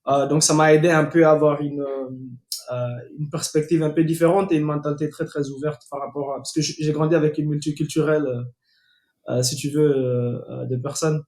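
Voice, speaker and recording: Young man telling his story, halting, in an echoey room. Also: fairly high pitch, little pitch movement, darkish tone. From Guinea. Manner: hesitant, many fillers and restarts. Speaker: podcast guest. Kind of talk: casual interview talk. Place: home in Atlanta. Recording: echoey room over a remote call.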